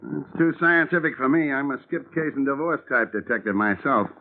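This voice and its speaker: A gruff, streetwise private detective, played by an actor in a 1950s radio drama. He speaks bluntly in a mid-pitched voice, and it sounds thin and tinny, as if heard on an old radio. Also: normal speed, close to an everyday voice.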